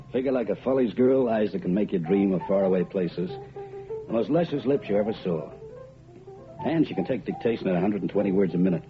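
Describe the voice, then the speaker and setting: Slangy, unflappable radio gumshoe; lowish, very expressive pitch, muffled old recording. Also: male voice. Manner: wry, slangy. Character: wisecracking, unflappable male private detective narrating his case. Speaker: lead actor and narrator. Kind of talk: hardboiled radio detective narration and dialogue.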